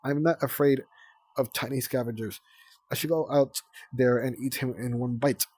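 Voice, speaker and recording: A man voicing a small young female dragon hammily playing a grand, loud queen. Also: mid-range voice, steady tone, moderate pace, slightly rough, no echo, natural voice. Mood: pompous.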